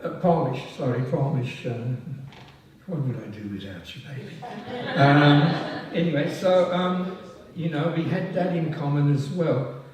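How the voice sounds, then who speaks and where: An older man, born in France, giving a halting, self-deprecating speech at a ceremony, full of ums and restarts. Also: fairly high pitch, darkish tone. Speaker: past president honoured at a society oration. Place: large hall at a public event. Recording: echoey hall, voice from far off. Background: audience laughing.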